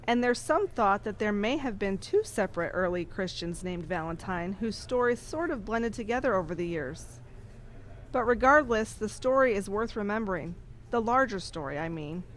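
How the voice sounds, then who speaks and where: A woman playing a kindly, patient nun who teaches through measured storytelling in an audio drama. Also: mid-range voice, a little hiss or hum, natural voice. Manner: didactic, measured.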